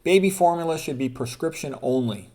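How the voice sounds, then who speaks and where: A man's voice: a little high, brisk, darkish tone. A man presenting as a physician, reading quotes aloud in an informal health lecture and adding emphatic, opinionated comments, repeating points for stress.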